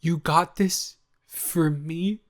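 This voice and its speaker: A man's voice: on the high side, steady tone, extremely slow. A man playing an eager, smitten young boyfriend in an improvised intimate audio roleplay, stammering and correcting himself as he goes. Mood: awestruck, smitten. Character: eager, smitten, flustered young boyfriend, sweet and easily overwhelmed.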